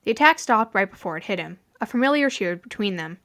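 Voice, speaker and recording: Woman narrator, scripted story reading, mid-pitched voice, very lively intonation, expressive. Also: quick pace. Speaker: fan fiction reader.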